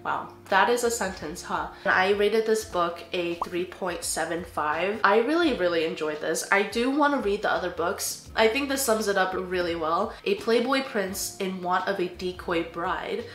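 A woman's voice: medium pitch, normal speed, few pauses, a bit bright. A young woman talks through her recent reads in an easy, chatty way, and her blocked nose makes her sound nasal. She leans on words like "really, really" for emphasis. The room around her has a noticeable echo. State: stuffy nose, nasal from allergies. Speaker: book vlogger. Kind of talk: casual book review chat. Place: living room with bookshelves, indoors.

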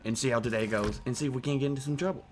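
A man with a put-on British accent, giving casual, excitable commentary over his own gameplay and swearing as he reacts. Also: medium pitch, very fast, faint music behind. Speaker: gaming channel host.

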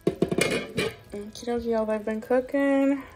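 A woman's voice: a little high, slow, over loud music. A woman, chatting casually through her home cooking and cleaning routine. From Southern United States. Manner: chatty, homey, relaxed explaining. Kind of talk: casual voice-over narration.

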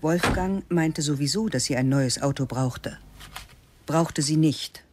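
A man reading the narration of a radio play in a calm, measured, literary way. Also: a little high, noisy background. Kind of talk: scripted audiobook narration.

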